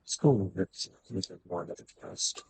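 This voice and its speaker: A man with a rough, husky voice chatting casually and offhandedly as he streams a game. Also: deep voice, expressive, slow pace, darkish tone, a little hiss or hum. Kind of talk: casual off-script chat.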